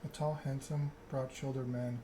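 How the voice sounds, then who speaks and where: Male ASMR narrator for a text-driven game, very even pitch, clearly noisy ambient background. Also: moderate pace. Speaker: streamer reading a game aloud.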